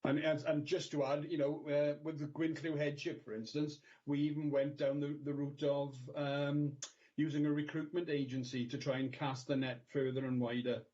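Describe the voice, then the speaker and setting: A man answering questions at a council meeting, speaking haltingly with many repeated words. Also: fairly high pitch, little pitch movement, warm tone. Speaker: council education officer. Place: video call. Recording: lo-fi video call audio.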